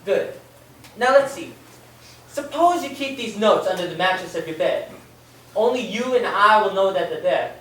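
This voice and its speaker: Radio-play police officer played by a woman, calm and probing, very reverberant, set back from the mic. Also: medium pitch, normal speed, long pauses, natural voice. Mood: reassuring, instructing. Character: calm, attentive policeman questioning a witness. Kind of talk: amateur old-time radio play acting. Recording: echoey, distant, with hiss.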